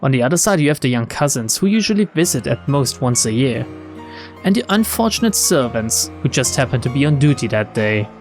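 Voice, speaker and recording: A man's voice: mid-range voice, big pitch swings, fairly quick. A man hosting a game playthrough, reading the text aloud and adding casual commentary. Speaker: let's play host. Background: game music.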